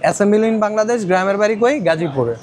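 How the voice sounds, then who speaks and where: A man with a Bangladeshi accent pitching phones to viewers in a shop, rattling off models and prices and repeating them. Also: animated, fast talker. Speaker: phone shop seller presenting stock and prices. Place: electronics shop in a busy shopping complex. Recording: busy shopping mall crowd behind voice. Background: crowd chatter.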